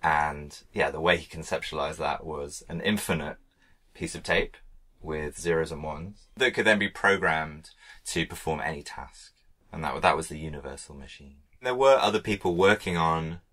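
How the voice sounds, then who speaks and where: Man, very deep voice, bright tense tone, explaining things on a podcast. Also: moderate pace, hardly any pauses. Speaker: podcast co-host. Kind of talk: casual podcast chat.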